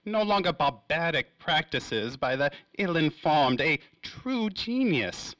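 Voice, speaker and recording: A suave, bright-voiced scheming magnate. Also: male voice, on the high side, big pitch swings, no room sound, highs cut off, heavily acted. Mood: smug, evasive. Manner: theatrical, drawn-out, evasive phrasing. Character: suave, cagey, self-important industrial magnate in a noir serial. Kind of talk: 1930s radio drama acting.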